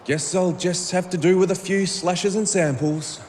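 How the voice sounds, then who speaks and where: Audio drama part: wry male hoaxer played by a man, high-pitched for a man, muttering to himself over faint ambient noise. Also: fairly even intonation, measured pace, darkish tone. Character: Jonas, wry man who fakes monster attacks.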